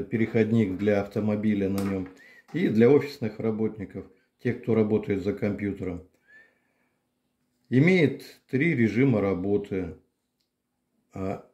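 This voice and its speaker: Older man, low mellow voice, plain unhurried explaining, echoey room. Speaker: channel host reviewing a massager. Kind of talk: casual product demonstration. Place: home room, indoors.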